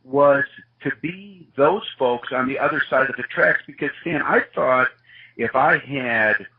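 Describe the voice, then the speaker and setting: A man's voice: medium pitch, unhurried, slightly rough. A man who grew up in Wisconsin, a guest in an interview, telling his life story in an earnest, reflective way. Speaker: guest, organization CEO. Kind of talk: personal testimony in an interview. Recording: muffled lo-fi sound.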